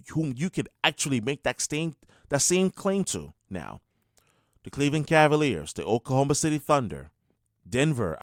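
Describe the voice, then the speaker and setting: A man's voice: medium pitch, no echo, clean studio sound. A man working as a sports radio host, delivering commentary and updates.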